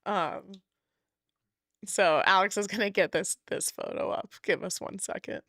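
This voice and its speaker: A woman co-hosting a casual podcast over a video call, giggly and upbeat, her voice a little raspy after a weekend wedding. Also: medium pitch, fairly even intonation, a little slow, a bit bright, no room sound, clean studio sound. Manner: giggly, upbeat, frequent laughs and fillers. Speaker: podcast co-host. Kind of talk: casual chat. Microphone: video call setup.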